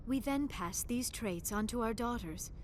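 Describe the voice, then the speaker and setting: A woman's voice: a little high, expressive, a little slow, a little hiss or hum. An actress voicing a young alien woman scientist in a video game, shy and earnest, with formal, precise diction. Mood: calm explaining. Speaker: archaeologist crew member.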